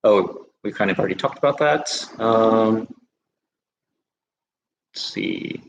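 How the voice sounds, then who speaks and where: A man's voice: on the low side, slow, gravelly, echoey room, highs cut off, a little hiss or hum. American man hosting a live Q&A. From United States. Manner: relaxed, many fillers and restarts. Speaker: host of a study-abroad livestream. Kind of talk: casual live Q&A chat.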